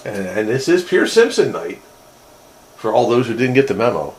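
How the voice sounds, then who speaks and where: A man's voice: mid-range voice, sing-song, normal speed, noisy background. A man in casual technical chat, musing aloud in a hesitant way and often rephrasing himself. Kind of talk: casual technical chat about vintage radio gear.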